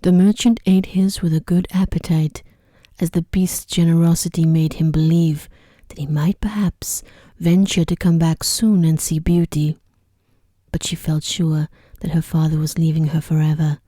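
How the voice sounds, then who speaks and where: A woman narrating an ASMR bedtime story in a gentle, hushed, carefully enunciated way, close to the microphone. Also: low-pitched, flat delivery, normal speed, no echo. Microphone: close microphone in a dry space.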